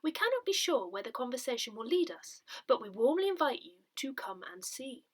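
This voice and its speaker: A woman reading a parish newsletter aloud in a soft, breathy, measured way. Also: high voice, sing-song. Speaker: newsletter reader.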